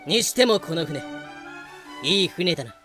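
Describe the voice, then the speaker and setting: A man's voice: a little high, sing-song, normal speed. An actor playing a level-headed, exasperated pirate crewman with a dry, retorting manner in an audio drama. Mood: pleased. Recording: music bed behind voice.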